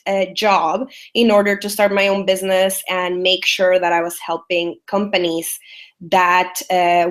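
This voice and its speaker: A woman with a Salvadoran Spanish accent, a native Spanish speaker, talking over a webinar call. She presents in an upbeat way, at a middle pitch, with frequent filler sounds. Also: fairly bright tone. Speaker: guest presenter, business strategist. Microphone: online webinar call.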